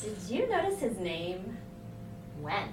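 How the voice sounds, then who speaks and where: Casting: chatty, cheerful schoolgirl played by a woman for story reading; very expressive, slow pace, roomy noisy recording. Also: medium pitch. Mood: playful, amused. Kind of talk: story reading with character dialogue. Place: hotel room recording session. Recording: echoey hotel room, distant mic, noisy.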